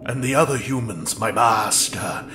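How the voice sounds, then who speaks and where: A man playing a sinister, servile herald of a dark master, gloating grandly in a horror drama. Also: lowish voice, measured pace, noisy background, lightly acted. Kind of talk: fantasy horror web drama acting. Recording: music behind the voice.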